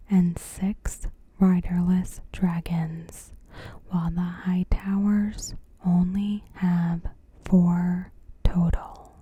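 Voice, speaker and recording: A woman whispering softly and carefully in a breathy voice, narrating a scripted recap in ASMR style. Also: lowish voice. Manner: soft, unhurried, careful. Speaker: ASMR creator.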